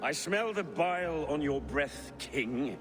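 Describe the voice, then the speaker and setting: An actor playing a cunning, secretive Viking war chieftain who talks in a conspiratorial, commanding way. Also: male voice, on the high side, lively intonation, takes it slowly.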